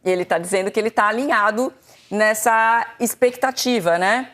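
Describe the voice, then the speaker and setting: Woman, TV sports presenter, explains at length, mid pitch. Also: moderate pace, a bit bright. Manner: explains at length, summarizing and paraphrasing. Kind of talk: studio football discussion.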